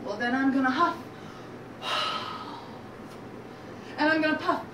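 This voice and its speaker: A woman's voice: sits high, close to an everyday voice. A woman plays a big bad wolf from a children's tale, menacing and theatrical, dragging out the words. The recording is distant and echoey, with noise behind. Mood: threatening. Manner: slow, drawn-out, theatrical. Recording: roomy, distant mic, noisy background.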